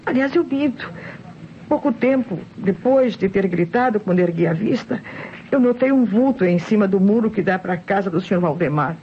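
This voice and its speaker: A woman's voice: mid-range voice, animated, normal speed, lightly acted. Woman playing a distressed widow, muffled radio drama. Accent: Brazilian. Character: distressed widowed housewife and mother testifying to police. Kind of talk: old Brazilian radio mystery drama acting. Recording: muffled, noisy old radio recording.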